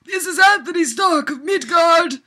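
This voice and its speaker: A woman's voice: very high voice, moderate pace, pushed and bright, pitched above the performer's own voice. A woman voicing a haughty, curt male god prince from fan fiction, with stiff, formal declamation.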